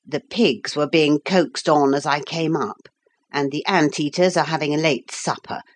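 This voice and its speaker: A woman voicing a pompous, fussy old man who keeps an ark, speaking in a slow, formal, lecturing manner. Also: deep voice. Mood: reassuring. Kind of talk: audiobook character voice.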